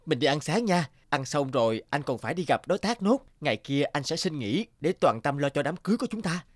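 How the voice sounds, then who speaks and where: A man voicing an earnest, expressive young office worker in a cartoon, with music underneath. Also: on the high side, a little fast, a little hiss or hum. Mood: warm, casual. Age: young adult. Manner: expressive, dramatic. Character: earnest young office manager, groom-to-be, easily distressed. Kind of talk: cartoon dubbing.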